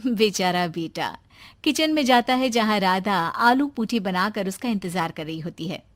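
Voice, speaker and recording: Animated woman story narrator. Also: mid-range voice, moderate pace. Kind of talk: scripted cartoon story narration.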